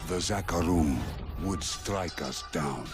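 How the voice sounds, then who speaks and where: An actor playing a grave, sorrowful monk leader who turns to forbidden darkness, in a solemn video game monologue. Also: male voice, low voice, slow pace, over music. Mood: solemn, burdened. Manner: solemn, portentous.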